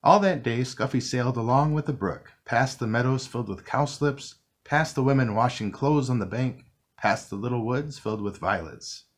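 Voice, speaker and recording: A man reading a children's picture book aloud, narrating gently and expressively. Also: mid-range voice, normal speed. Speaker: storybook reader. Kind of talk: children's read-along narration.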